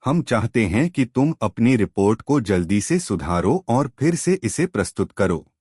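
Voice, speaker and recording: A man's voice: lowish voice, big pitch swings, unhurried, a little mellow, breathy edge, dry recording, studio quality, full character voice. A man voicing a stern but fair, formal company boss in a moral story. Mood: approving.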